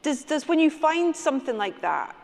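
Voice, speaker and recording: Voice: sits high, sing-song, moderate pace, gravelly. Chatty panel moderator. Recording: echoey hall, faint noise.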